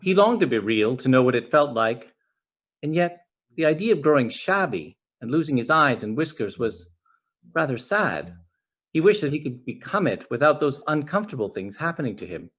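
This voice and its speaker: A man reading a children's story aloud as an expressive narrator. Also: mid-range voice, moderate pace. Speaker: storybook reader.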